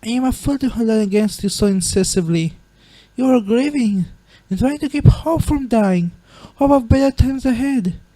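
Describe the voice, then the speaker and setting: A woman playing a gentle, proper, remorseful monster queen and mother in a dramatic comic dub, with formal, refined diction. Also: on the high side, animated, normal speed, no echo, poor audio. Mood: anguished, self-blaming.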